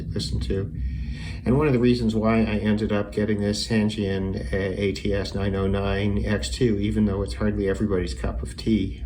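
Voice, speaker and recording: A man's voice: fairly low pitch, darkish tone. A man giving a casual, unscripted hobby demonstration, thinking aloud in a conversational way. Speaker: radio hobbyist and video maker. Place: indoors at a desk with a radio. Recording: noisy room with radio sound.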